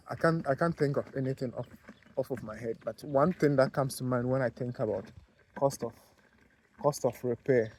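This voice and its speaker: Ghanaian guy vlogging, mid pitch voice, lots of uh and um, keeps restarting, chatty. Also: a little mellow. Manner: halting, many fillers and restarts. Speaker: vlogger, car detailer. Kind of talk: casual talk to camera.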